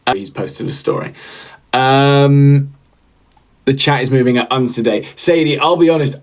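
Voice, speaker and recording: A young man hosting a casual livestream, chatting to viewers in a rambling way with lots of fillers and repeated words. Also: medium pitch. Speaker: livestream host.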